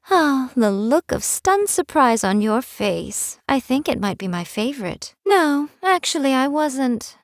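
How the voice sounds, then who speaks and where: A woman's voice: a little high, no echo. Teasing fox spirit lady for a game, smug and playful, dead dry booth. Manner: teasing, coy, amused. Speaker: game character. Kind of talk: game voice lines. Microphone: studio mic, dry.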